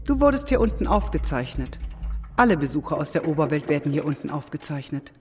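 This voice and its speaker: Weary underworld soul, mellow dark timbre, slightly high pitch, knowing, coaxing, radio drama. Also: male voice, big pitch swings, fast talker, soft background music. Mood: wistful, explaining. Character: underworld dweller, weary, coaxing, hungry for stories of life. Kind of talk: German radio play acting.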